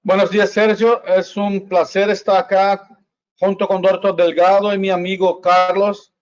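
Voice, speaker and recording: A Brazilian physician whose first language is Portuguese speaks hesitant Spanish with many fillers on a video call. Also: male voice, high voice, flat delivery, a little slow. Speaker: physician panelist. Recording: dull lo-fi video call audio.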